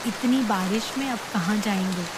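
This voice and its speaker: A woman's voice: mid-range voice, moderate pace, lightly acted. A woman playing an anxious, poor village mother in a moral cartoon story, with a worried, questioning manner. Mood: fearful worry. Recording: hissy, very loud rain noise.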